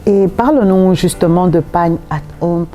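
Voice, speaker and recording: A woman interviewer asking halting questions, restarting and filling pauses as she goes. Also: lowish voice, mellow, faint music behind.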